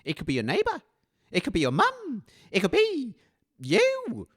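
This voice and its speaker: A man's voice: very high voice, huge pitch swings, a little slow, breathy edge, no room sound, clean studio sound. A nervous, flustered man hosting a comedy radio show in character, often stammering.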